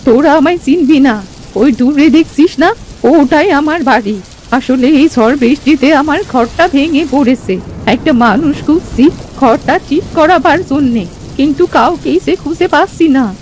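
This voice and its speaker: High-pitched old village granny. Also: female voice, unhurried, darkish tone. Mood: pleading. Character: old village granny, eerie yet pleading. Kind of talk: Bengali cartoon story voice acting. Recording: noisy, with rain ambience.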